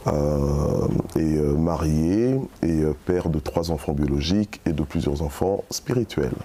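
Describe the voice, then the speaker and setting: Man, pastor, interview guest, low pitch, mellow tone, soft-spoken, hesitant. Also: measured pace, slightly rough. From Belgium. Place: studio set.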